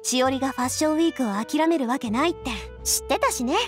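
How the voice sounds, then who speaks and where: A woman's voice: high-pitched, fast talker, faint music behind, lightly acted. A woman playing an elegant, self-assured and supportive young lady in a Japanese video game, speaking in a friendly, ladylike way. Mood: helpful, assured.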